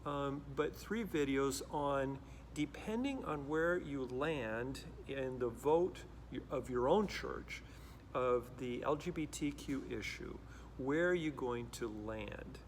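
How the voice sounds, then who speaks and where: Earnest middle-aged pastor, faint hiss. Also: male voice, mid-range voice. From Costa Rica. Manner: reflective, earnest, with frequent restarts. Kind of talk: direct-to-camera talk.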